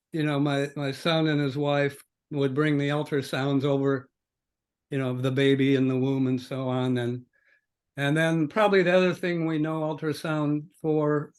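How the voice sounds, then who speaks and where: An older man hosting a podcast conversation in an unscripted, halting way, as if thinking aloud. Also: on the high side, darkish tone, clean tone. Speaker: podcast host. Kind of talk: conversational scripted-free interview introduction.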